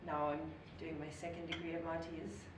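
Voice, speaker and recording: A woman's voice: low-pitched, little pitch movement, moderate pace. A young woman from Zambia talks as a podcast guest, filling nearly every phrase with "like" and laughing at her own stories. She sounds set back from the mic in an echoing room, with noticeable noise underneath. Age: young adult. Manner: chatty, says like constantly, giggly and self-mocking. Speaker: guest, professional swimmer. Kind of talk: casual interview chat. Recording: echoey room, mic at a distance, clear background noise.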